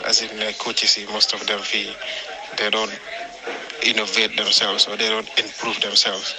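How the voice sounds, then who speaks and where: Low, flat-toned man over clear noise. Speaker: discussion participant. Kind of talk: casual spoken opinion on a topic. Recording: clearly noisy background.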